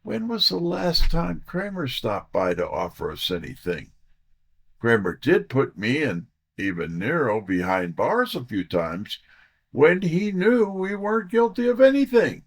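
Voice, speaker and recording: A wisecracking private detective's sidekick, voiced by a man, tells his story in hard-boiled first person. His pitch swings widely while the delivery stays unhurried. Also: huge pitch swings, gravelly, exaggerated voice. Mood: skeptical. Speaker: detective's assistant and narrator.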